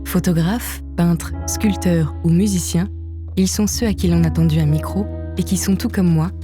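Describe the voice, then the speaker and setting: A woman reading a documentary narration in a measured, steady way, as a voice-over. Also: on the low side. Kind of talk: scripted narration. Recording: voice-over with music behind.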